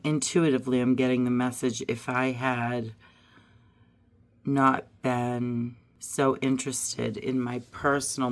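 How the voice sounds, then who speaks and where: A hoarse woman getting over a cold, musing aloud as she pulls and reads tarot and oracle cards. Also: rumbling low, a little hiss or hum. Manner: musing aloud, drifting to soft murmurs. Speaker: tarot reader. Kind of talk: casual card-reading vlog.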